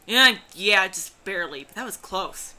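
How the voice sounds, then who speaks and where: Casting: woman playing good-hearted, careless grandson in his twenties, grumbling, bright voice, game hero. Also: medium pitch, animated, normal speed. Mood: relieved. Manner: grumbling, apologetic, casual.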